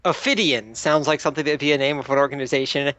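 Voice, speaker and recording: A man's voice: fairly high pitch, very fast, pushed and bright, dry recording. A man playing in a tabletop group, chatting casually in a reasoning way that often corrects the others. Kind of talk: casual group game chat.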